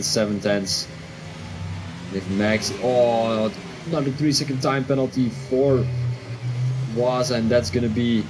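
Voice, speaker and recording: A man from Belgium with a Belgian accent, a Dutch speaker commentating a sim race live, excitable and quick to second-guess his calls. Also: fairly low pitch, noisy background.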